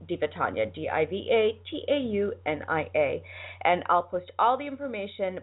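An American woman hosting a radio show, chatting casually and enthusiastically through announcements and sponsor plugs in a rambling, informal way. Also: lowish voice. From United States, lived in Boston. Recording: thin, phone-like band-limited sound.

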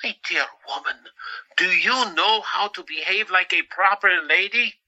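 A high-pitched, exasperated, pompous doctor. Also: male voice, measured pace, sharp tone, muffled recording, full character voice. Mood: indignant. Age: 50s. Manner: theatrical, scolding, long-suffering. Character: exasperated, pompous fifty-year-old male doctor and hospital boss. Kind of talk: audio drama acting.

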